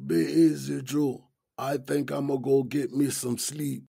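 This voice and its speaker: An actor playing a weary, philosophical long-time inmate in an audio drama, gravelly and husky, musing unhurriedly in street slang. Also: male voice, mid-range voice, little pitch movement, unhurried, warm tone, full character voice. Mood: easygoing.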